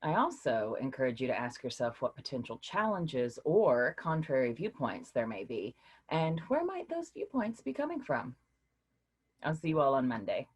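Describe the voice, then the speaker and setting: A woman's voice: very deep voice, moderate pace, slightly rough, full character voice. A woman playing an encouraging professor. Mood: teacherly. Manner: lively, questioning, teacherly. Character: woman college philosophy professor, encouraging and patient. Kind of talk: staged play reading.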